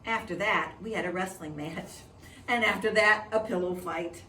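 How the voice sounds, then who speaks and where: A woman reading a children's picture book aloud in an expressive storytelling style, in the manner of a preschool teacher. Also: fairly low pitch, moderate pace. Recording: echoey room, distant mic, faint noise.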